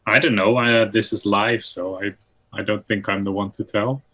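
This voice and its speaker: Bright-edged low male voice. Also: fairly even intonation, normal speed. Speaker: participant in a live stream. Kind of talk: casual chat and anecdote on a video call. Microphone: video call.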